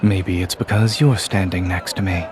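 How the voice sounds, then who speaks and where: Man playing a low-voiced, composed fantasy general. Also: steady tone, natural voice. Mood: calm, gentle. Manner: measured, formal, dryly understated. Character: calm, formal, dryly teasing young male fantasy general. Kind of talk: restrained romance game voice acting. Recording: screen-recorded game audio with faint noise.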